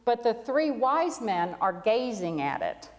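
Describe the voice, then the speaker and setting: A woman's voice: fairly high pitch, big pitch swings, unhurried, a little hiss or hum. A woman voicing an earnest, eager camel from a children's storybook, with emphatic delivery, in a church. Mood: wondering. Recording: echoey hall with long reverb.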